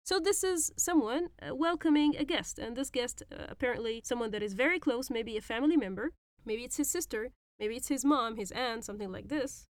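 A woman hosting a podcast and teaching phrases in a patient, explanatory way, repeating words slowly. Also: a little high, dry recording, studio quality. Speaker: podcast host and Arabic teacher. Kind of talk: language lesson explaining phrases.

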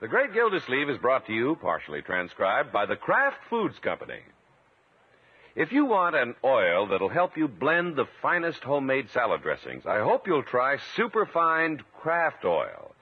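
Tinny vintage male announcer, hugely animated pitch. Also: moderate pace, breathy edge. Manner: emphatic, salesmanlike. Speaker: radio announcer. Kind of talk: 1950s radio announcing and ad narration. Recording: tinny vintage radio recording.

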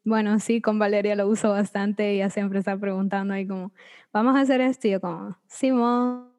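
A young woman telling funny anecdotes in a relaxed group chat, amused at herself. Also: mid-range voice, moderate pace. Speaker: podcast guest, student.

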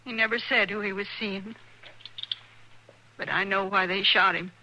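A woman's voice: mid-range voice, fairly even intonation, normal speed, pushed and bright, slight background noise, rough recording. An actress playing a grieving, bitter frontier mother in a 1950s radio western, with rustic, pleading speech. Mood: anguished.

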